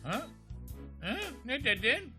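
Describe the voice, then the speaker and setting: A man voicing an excitable, dim military officer, an eager yes-man in a Turkish cartoon dub. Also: very high voice, takes it slowly, soft background music, exaggerated voice. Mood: flustered.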